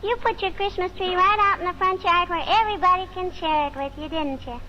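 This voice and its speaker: Super high bright little kid voice, gushy n curious, old radio comedy with some hiss. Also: female voice, fairly quick, muffled recording. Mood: eager, gushing. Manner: childlike, inquisitive, folksy. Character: eager, gushing little neighborhood girl. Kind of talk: 1940s radio comedy acting. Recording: noisy vintage radio recording.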